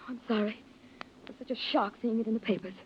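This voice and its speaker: An actress playing an intense, scheming heiress in old-time radio melodrama, speaking in a theatrical, emphatic way. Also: female voice, a little high, fairly even intonation, quick pace, a little hiss or hum, natural voice. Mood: agitated pleading. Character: scheming heiress, outwardly pleading and sympathetic, intense. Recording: muffled vintage radio recording.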